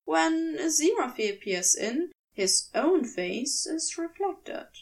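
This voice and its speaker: A woman narrates fiction in a fairly high, very animated voice. She takes her time over each phrase in a scripted storytelling style. The microphone sounds a little distant. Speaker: fan fiction podfic narrator.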